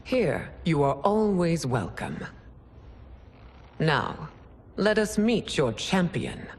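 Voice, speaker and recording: An actress playing a regal, stern widowed empress in a video game cutscene, formal and imperious. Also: female voice, low voice, very animated, slow pace, slightly rough, heavily acted. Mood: stately.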